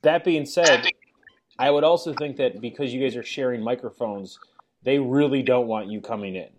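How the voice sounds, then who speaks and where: A man's voice: mid-range voice, moderate pace, slight background noise. Playful man hosting a podcast chat. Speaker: podcast host. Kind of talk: casual chat.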